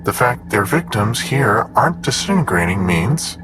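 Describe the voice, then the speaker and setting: A man playing a composed android assistant in an audio drama, speaking in a clinical, measured, matter-of-fact manner. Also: mid-range voice, sing-song, music in the background, lightly acted. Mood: clinical, informative. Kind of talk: scripted audio drama dialogue.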